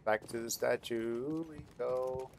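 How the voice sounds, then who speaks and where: A man's voice: mid-range voice, steady tone, slow pace, creaky, a little hiss or hum. A man giving casual, playful gameplay commentary as he talks to himself while hosting a gaming video.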